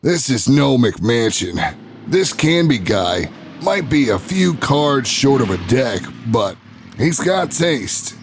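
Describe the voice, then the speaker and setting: Slow, swaggering talk show host. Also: male voice, mid-range voice, very animated, faint music behind. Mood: cocky, wry. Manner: folksy, swaggering, dramatic pauses and sighs. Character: brash male talk show host telling an investigative story. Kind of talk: scripted first-person narration in an audio drama.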